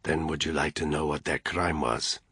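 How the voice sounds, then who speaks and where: A man voicing a cold, menacing middle-aged crime boss who talks in a hoarse voice barely above a whisper. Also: a bass voice, fairly even intonation, moderate pace, fairly bright tone, no room sound, studio quality, pitched below the performer's own voice, exaggerated voice.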